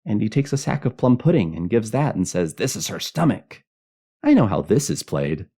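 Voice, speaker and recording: A man voicing a gleeful, boastful child in a spooky storybook tale, rattling off a list excitedly. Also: fairly low pitch, normal speed, husky, pitched above the performer's own voice, full character voice. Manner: gleeful, boastful, breathless listing. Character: excited, know-it-all child spoiling a spooky game.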